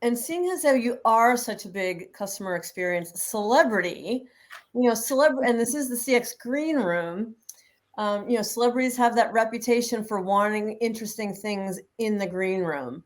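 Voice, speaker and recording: A woman's voice: fairly high pitch, moderate pace. A woman hosting an interview in an upbeat, chatty way. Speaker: host.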